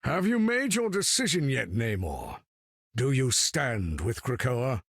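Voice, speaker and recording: An actor playing a grave, proud older mutant leader, gravelly and measured like an orator, in a video game. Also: male voice, medium pitch, sing-song, no room sound, exaggerated voice. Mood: stern, commanding. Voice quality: gravelly, weathered. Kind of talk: video game character acting.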